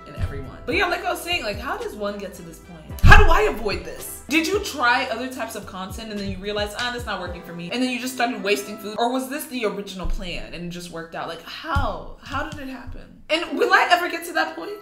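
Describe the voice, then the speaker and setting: A young woman giving casual, slangy reaction commentary in an expressive, incredulous manner. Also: a little high, a little fast, few pauses, roomy sound, over music. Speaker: YouTube commentary host.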